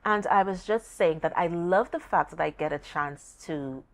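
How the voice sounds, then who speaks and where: Low-pitched Tobagonian woman, emphatic host. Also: normal speed. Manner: expressive, emphatic, repeating words for stress. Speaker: talk show host. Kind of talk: conversational interviewing.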